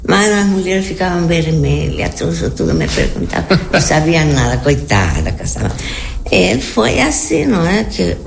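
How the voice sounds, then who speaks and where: A woman's voice: fairly low pitch, very animated, normal speed, creaky, a little hiss or hum. An elderly native Spanish-speaking woman from Argentina with an Argentine Spanish accent, reminiscing conversationally in an interview, repeating words and checking for agreement. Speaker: interview guest.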